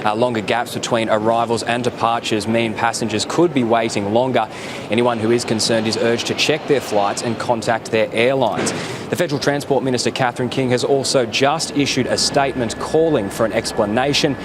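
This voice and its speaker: A man's voice: medium pitch, fairly even intonation, brisk. An Australian man giving a live news report from outdoors at an airport. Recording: noisy outdoor live location, traffic.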